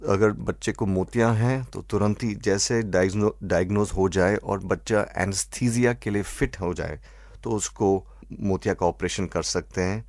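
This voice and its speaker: A man with an Indian accent answering as an expert doctor in an interview, measured and methodical, mixing in English phrases. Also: fairly low pitch, fairly even intonation, darkish tone, no room sound, studio quality. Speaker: eye doctor, expert guest.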